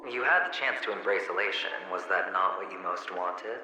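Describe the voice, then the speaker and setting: A man's voice: low-pitched, fairly quick, heavy reverb, in a large hall, noisy background, lightly acted. An actor playing a smug young male gambler in a video game cutscene, taunting in a mocking, teasing drawl.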